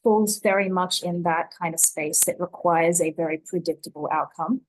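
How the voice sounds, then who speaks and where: Woman, lowish pitch, conference panel speaker, articulate, measured, explanatory. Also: poor audio. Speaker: panelist, technology expert.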